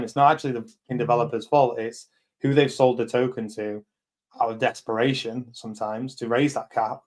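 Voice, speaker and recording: A man in his thirties, hosting a live community chat as a company head, talking bluntly and rambling with lots of fillers and swearing. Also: mid-range voice. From England. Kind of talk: casual chat.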